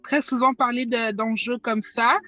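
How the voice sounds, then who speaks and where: A woman's voice: on the high side, steady tone, unhurried, dry recording. A young woman giving an earnest, heartfelt testimonial about a youth program. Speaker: program participant. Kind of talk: interview testimonial. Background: soft background music.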